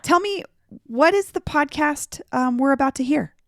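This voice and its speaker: A woman's voice: sits high, animated, moderate pace, fairly bright tone, no room sound, clean studio sound. A woman hosting a podcast in a casual, conversational interview style. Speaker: podcast host. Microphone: remote video call recording.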